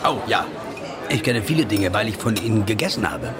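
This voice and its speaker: A man's voice: on the low side, lively intonation, a little fast, over loud music, close to an everyday voice. A male actor playing a food-loving, teasing companion in a German radio play, wry and bantering.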